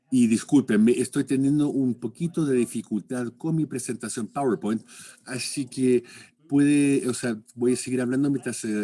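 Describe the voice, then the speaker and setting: Husky-voiced man, halting lecture delivery. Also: medium pitch, dark tone. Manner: halting, searching for words and rewording.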